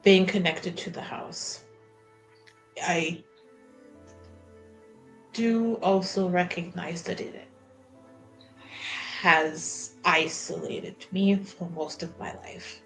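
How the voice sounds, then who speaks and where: Slow, hesitant woman playing a tabletop game. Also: lowish voice, fairly even intonation, creaky, fairly bright tone, rough recording. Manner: hesitant, breaking off and rephrasing. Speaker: tabletop roleplaying player. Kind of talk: casual in-character and out-of-character game chat. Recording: background music.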